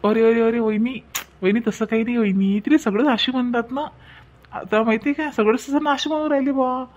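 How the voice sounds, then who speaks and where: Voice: mid-range voice, a little fast, a little mellow, highs cut off, close to an everyday voice. A performer voicing a bashful, eager young man in an amateur cartoon family drama, with a Vidarbha Marathi accent. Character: bashful young man smitten with a prospective bride.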